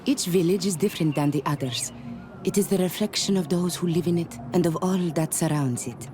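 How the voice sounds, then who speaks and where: A woman acts out a mystical clanswoman in a fantasy game. Her voice is deep for a woman, teasing and proud, with formal, stilted phrasing. Music runs clearly behind her. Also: fairly quick, close to an everyday voice. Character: playful, cryptic native clan woman, proud and mystical. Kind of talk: video game character acting. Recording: music under the dialogue.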